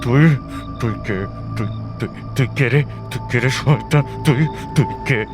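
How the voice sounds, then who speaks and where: A man plays a once-ruthless tycoon, now panicked and pleading. He speaks slowly in broken, repeated fragments, stammering with desperation. Loud dramatic music runs beneath this audio-thriller melodrama. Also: medium pitch, natural voice. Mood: shocked disbelief.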